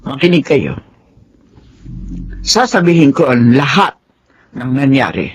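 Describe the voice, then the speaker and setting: A woman's voice: very deep voice, sing-song, slow, exaggerated voice. A woman playing an elderly, caring grandmother in a radio drama. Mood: exasperated, grave. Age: older. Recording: dull radio recording with faint noise.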